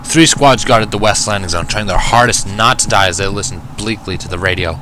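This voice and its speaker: A man's voice: fairly low pitch, fairly quick, sharp tone, a little hiss or hum. A man reading first-person fiction as a dramatic narration, with a wry, sardonic delivery. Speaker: fan fiction reader. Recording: music behind the voice. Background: music.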